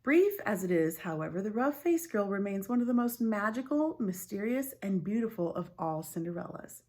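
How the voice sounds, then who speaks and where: A woman reading a story aloud like a teacher, expressive and clearly phrased, with informal asides. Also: mid-range voice, moderate pace.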